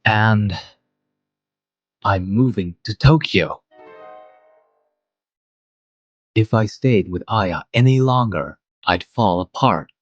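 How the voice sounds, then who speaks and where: A man playing a mild, wistful adult guardian, narrating a manga dub in a measured, reflective way. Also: on the low side, expressive, dry recording, muffled recording. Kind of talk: scripted first-person manga dub narration.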